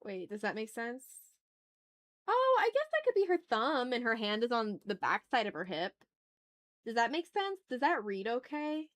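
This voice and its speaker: A woman's voice: a little high, sing-song, normal speed, fairly bright tone. A woman hosting an art livestream, chatting informally and candidly, thinking aloud as she draws and swearing freely. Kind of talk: casual chat while drawing on stream.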